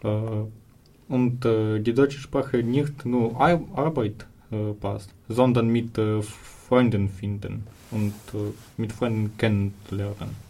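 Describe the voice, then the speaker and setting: A man's voice: fairly low pitch, steady tone, slow pace, dark tone. A man from Ukraine with a Ukrainian accent, answering interview questions in learner German, hesitant and full of filler sounds.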